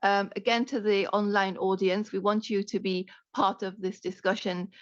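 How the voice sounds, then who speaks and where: Halting woman moderating a panel. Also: medium pitch, level tone, no room sound. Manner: polite and halting, with many ums and uhs. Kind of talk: moderating a policy dialogue. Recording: dull, dry conference audio.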